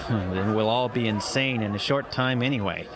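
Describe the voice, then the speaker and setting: A man acting in an audio drama as a skeptical, excitable writer friend, with a hesitant, expressive delivery. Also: fairly low pitch, moderate pace, a crowd in the background, noisy background, natural voice. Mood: skeptical, musing. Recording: music behind the voice. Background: music.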